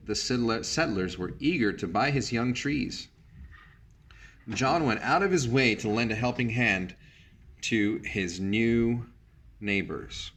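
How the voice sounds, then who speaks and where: Male storybook reader, lowish voice, teacherly and measured, narrating with short explanatory asides. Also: fairly bright tone. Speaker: teacher reading a picture book aloud. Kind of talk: storybook read-aloud with brief asides.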